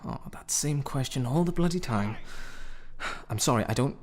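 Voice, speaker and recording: An actor playing a troubled, self-loathing drifter in a radio drama, with a British accent and a sardonic, brooding manner. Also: male voice, mid-range voice, lively intonation, moderate pace, natural voice. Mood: exasperated. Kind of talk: naturalistic radio drama acting. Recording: crowd chatter behind the voice.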